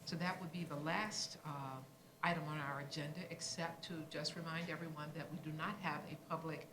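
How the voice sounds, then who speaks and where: A woman's voice: deep voice, fairly even intonation, brisk, reverberant, noisy background. A woman staff member briefing the commission on upcoming agenda items in a businesslike way with fillers.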